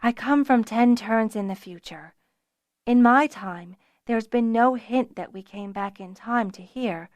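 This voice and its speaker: A woman's voice: on the high side, measured pace, no echo, close to an everyday voice. A woman voicing a distressed, wounded young female dragon rider who is emotional and apologetic, in an audiobook story. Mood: regretful, weary.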